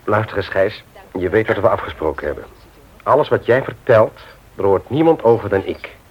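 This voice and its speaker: An earnest company secretary in a radio drama. The voice is fairly low and lively in pitch, with polite, reassuring phrasing and a muffled vintage sound. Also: male voice, moderate pace, breathy edge. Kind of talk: Dutch radio play acting.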